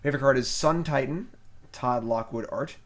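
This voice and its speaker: A man's voice: mid-range voice, normal speed. A man streaming a card game live, casually thinking aloud about his plays and criticizing his own mistakes. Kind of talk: casual live gameplay commentary.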